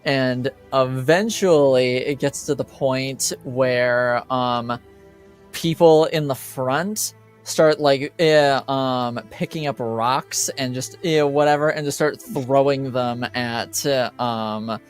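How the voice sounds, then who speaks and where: Man, game master, slow halting narration, smooth clear voice, faint music behind. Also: medium pitch. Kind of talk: narrating a tabletop roleplaying game.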